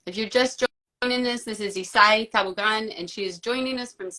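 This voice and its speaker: Bright-voiced woman hosting an interview. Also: on the high side, fairly quick. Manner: welcoming, guides the talk. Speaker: host. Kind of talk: live video interview. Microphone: video call.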